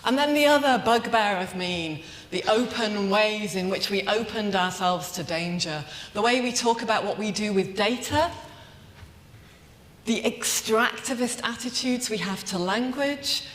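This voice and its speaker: A woman's voice: medium pitch, fairly bright tone. Woman lecturing in an echoey hall. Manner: measured, emphatic, repeats phrases in lists. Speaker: academic plenary speaker. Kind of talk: conference lecture, rhetorical and reflective. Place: large conference hall.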